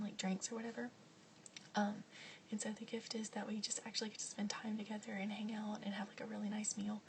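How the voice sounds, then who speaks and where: Softly whispering young woman, chatty ASMR. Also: mid-range voice, distant mic, noisy background. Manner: soft whispering, chatty, many ums and likes. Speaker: vlogger answering questions.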